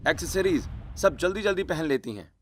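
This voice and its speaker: High-pitched male story narrator. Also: expressive, fairly quick. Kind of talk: scripted cartoon story narration.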